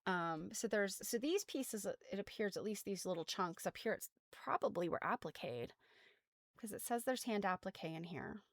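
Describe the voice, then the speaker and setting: A woman's voice: medium pitch, expressive, normal speed, no room sound, clean studio sound. An American woman hosting a casual craft livestream, explaining things in an informal, rambling way full of trailing asides. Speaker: host of a craft show. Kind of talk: casual livestream chat about quilts.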